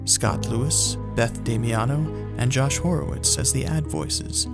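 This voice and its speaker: A man's voice: medium pitch, measured pace, vocal fry. A man narrating a scripted outro and reading credits for an audio drama. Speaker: podcast narrator. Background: loud music.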